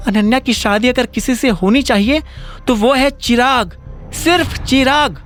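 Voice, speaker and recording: Man voicing a shrill, scolding middle-aged mother-in-law. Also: a little slow, a bit bright, soft background music. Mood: insistent. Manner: scolding, sarcastic rhetorical questions. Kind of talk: Hindi audio drama acting.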